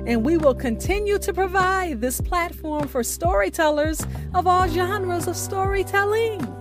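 A woman's voice: extremely high pitch, measured pace. A woman hosting a storytelling radio show, delivering a scripted introduction in an emphatic, theatrical manner. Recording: music behind the voice.

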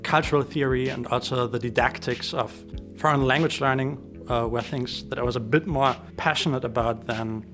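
A man's voice: mid-range voice, steady tone, a bit bright, faint music behind. Hesitant German-native male teacher. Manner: measured, hesitant with many fillers. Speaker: teacher being interviewed. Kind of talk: thoughtful interview answers.

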